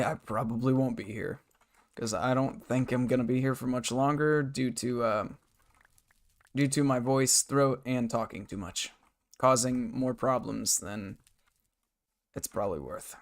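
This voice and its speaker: A hoarse man live-streaming a game from his bedroom desk, rambling and muttering to himself and his chat. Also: medium pitch, lively intonation, a little slow, long pauses. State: hoarse, scratchy throat.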